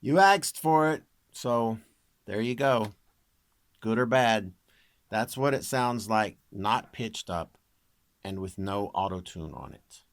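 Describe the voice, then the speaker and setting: Male online creator, low deep voice, informal wry chat, very little room sound. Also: animated, slow, dry recording. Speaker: amateur singer and video creator presenting own recording. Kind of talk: casual chat to viewers.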